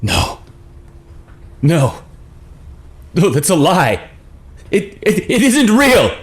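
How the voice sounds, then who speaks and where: A man playing a desperate, frightened father in a horror script read, halting and stammering in a rough, husky voice. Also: sits high, wildly swooping pitch, exaggerated voice. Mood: anguished denial. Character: desperate, frightened father searching for his lost daughter. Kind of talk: dramatic horror table read.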